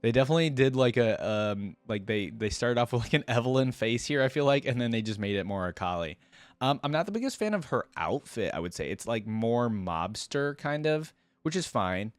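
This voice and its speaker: A man's voice: medium pitch, animated, brisk, no room sound. A man giving casual, unscripted reaction commentary as a video host, enthusiastic and rambling with informal, slangy wording.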